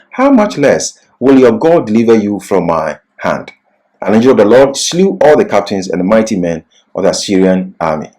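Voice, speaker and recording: A man giving an expository Bible sermon and reading scripture in an emphatic, deliberate, didactic manner. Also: fairly low pitch, mellow, low-quality recording. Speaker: Bible teacher.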